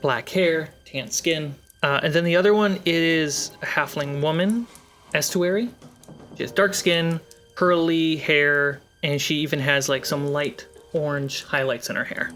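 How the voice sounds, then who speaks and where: Slow, low-key man as game master. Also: a bit bright, soft background music. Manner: slow, measured scene description with fillers. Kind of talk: narrating a tabletop game.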